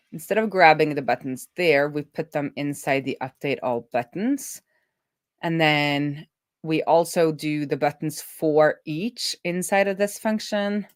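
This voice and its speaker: Low-voiced woman thinking aloud while coding. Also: no echo, studio quality. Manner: halting, trailing off mid-thought and self-correcting. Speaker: developer hosting a live coding stream.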